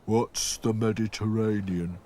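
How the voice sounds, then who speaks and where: A man voicing a slow, literal-minded and puzzled male rag doll in a cartoon. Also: fairly low pitch, fairly even intonation, warm tone, a little hiss or hum, lightly acted.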